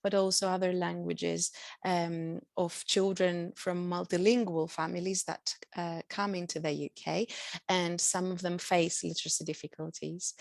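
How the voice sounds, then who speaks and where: A woman whose native language is Greek, a university lecturer giving a measured, explanatory presentation over a video call. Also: fairly low pitch, vocal fry, dry recording. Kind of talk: online presentation.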